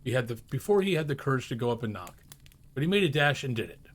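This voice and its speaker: A man reading a classic story aloud as narrator, in an expressive storybook style. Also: mid-range voice, quick pace.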